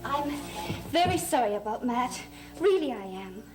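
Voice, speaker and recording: A young daughter in a gothic soap opera, high-voiced and eerily composed. She sounds detached, calm and polite, with music clearly behind her. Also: moderate pace. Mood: calm, distant.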